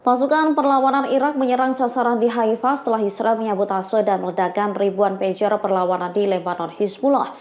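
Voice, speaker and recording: A woman's voice: mid-range voice, steady tone, brisk, darkish tone, faint music behind. A woman reading a scripted news report. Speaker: news narrator.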